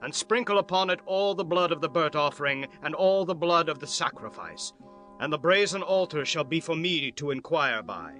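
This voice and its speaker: A man's voice: fairly high pitch, animated, normal speed, fairly bright tone. A man playing a commanding ancient king in a dramatized scripture reading, formal and declamatory. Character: commanding ancient king of Judah issuing orders. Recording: music bed under the voice.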